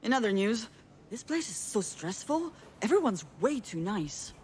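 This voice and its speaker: A woman's voice: fairly high pitch, very animated, moderate pace, a little mellow, slight background noise. A woman playing a blunt, tough, hot-tempered sister in a visual novel, speaking in a direct, sardonic, confrontational way. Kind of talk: visual novel voice acting, dramatic dialogue.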